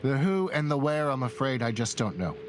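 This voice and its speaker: A man playing a dying middle-aged clergyman and mentor in a tabletop game, weary and earnest, speaking in a halting, grave, formal way. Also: mid-range voice, big pitch swings, close to an everyday voice. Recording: music behind the voice.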